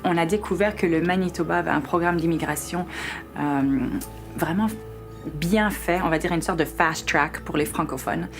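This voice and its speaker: Very low-voiced businesswoman. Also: normal speed, over music. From Belgium. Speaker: trade director. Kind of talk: testimonial interview.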